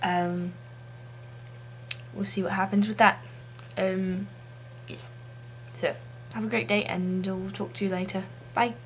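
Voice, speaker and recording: A woman chatting casually to camera as a video blogger, halting as she tries out a put-on accent. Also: medium pitch, level tone, slight background noise, rough recording.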